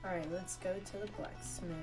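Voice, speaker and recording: A woman's voice: on the low side, fairly even intonation, moderate pace. A woman streamer making offhand, chatty remarks while she plays a game. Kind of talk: casual gameplay chat. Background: game music.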